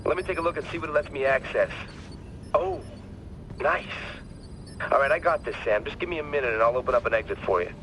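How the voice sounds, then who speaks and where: A man's voice: mid-range voice, big pitch swings, fast, long pauses, fairly bright tone, a little hiss or hum, low-quality recording. An actor playing a keen young male tech operator in a game, casual, wry and slightly nervous. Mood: focused, wry. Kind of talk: dramatic game voice acting.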